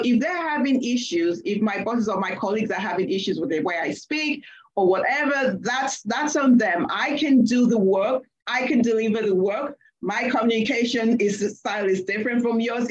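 A woman's voice: a little high, normal speed, lo-fi sound. A woman from Nigeria with a Nigerian accent, speaking as a panelist and telling a story from her work, going back over her words as she talks. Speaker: panelist, accounting leader. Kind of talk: panel discussion, personal story.

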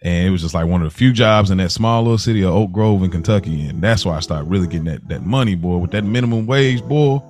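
A man's voice: deep voice, fast talker, hardly any pauses, a little mellow, faint music behind. An American man chatting casually and reacting in slang as a podcast co-host. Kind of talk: casual chat.

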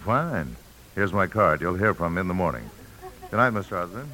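A businesslike fixer in a vintage radio play. His voice is very low with a sharp, bright edge, and he insinuates his price after hesitant openings. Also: sing-song, normal speed, heavily acted, rough recording. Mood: businesslike, sly. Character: businesslike, grim travel agent angling for a bribe. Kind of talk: 1950s radio drama acting.

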